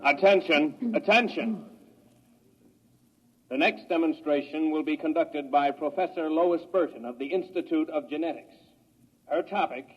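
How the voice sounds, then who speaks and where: High-pitched, husky announcer. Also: male voice. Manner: stiff, official. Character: formal male announcer at a scientific lecture hall. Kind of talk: 1950s radio drama acting, formal announcement. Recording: muffled old radio recording.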